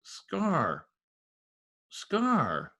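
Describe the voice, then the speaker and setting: A woman playing a shot, dying gangster informer in an old-time radio comedy-mystery, halting, groaning and urgent in a melodramatic way. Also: lowish voice, huge pitch swings, fairly bright tone, full character voice. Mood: weak, insistent.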